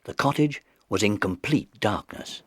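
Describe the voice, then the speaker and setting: Low, animated male storyteller. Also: measured pace, husky, dry recording. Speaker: narrator. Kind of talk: scripted children's story narration. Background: music.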